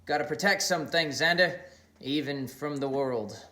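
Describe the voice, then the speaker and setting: A man's voice: fairly high pitch, measured pace, pushed and bright, pitched above the performer's own voice. A man reading an audiobook, voicing a sly beer-drinking male housemate with a teasing, knowing manner.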